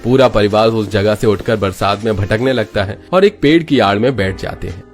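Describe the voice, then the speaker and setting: A man's voice: on the low side, normal speed, soft background music. A man narrating a scripted, dramatic moral story as its storyteller.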